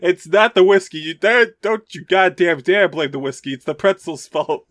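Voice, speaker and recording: Higher-pitched man in excitable joking banter. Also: normal speed, dry recording, clean studio sound. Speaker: player in a tabletop role-playing game.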